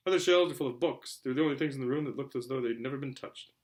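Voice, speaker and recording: A man narrating a children's novel aloud in an animated way, occasionally stumbling over words. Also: medium pitch, very fast. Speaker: reader, show host. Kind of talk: amateur audiobook-style story narration.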